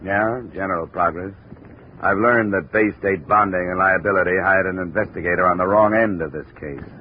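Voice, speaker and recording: An American actor playing a dry, world-weary insurance investigator in a 1950s radio detective drama. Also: male voice, deep voice, normal speed, natural voice. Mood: blunt. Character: wry, hard-boiled male insurance investigator narrating his case. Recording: dull, hissy old radio recording.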